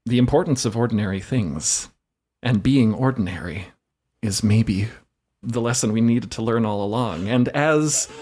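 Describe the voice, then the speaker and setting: A man's voice: mid-range voice, normal speed, a little mellow. A man playing a tabletop roleplaying game, improvising the story aloud with the others in a conversational way.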